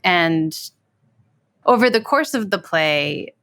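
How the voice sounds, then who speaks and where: A woman with a lowish voice and plenty of vocal fry explains in a measured way. Her phrasing is deliberate and broken, and the sound is very dry and close. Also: lively intonation, a bit bright, no room sound.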